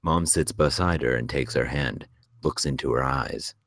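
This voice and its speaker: A man's voice: very deep voice, moderate pace, a bit bright, no echo, clean studio sound. A man narrating stage directions from a script in a plain reading style.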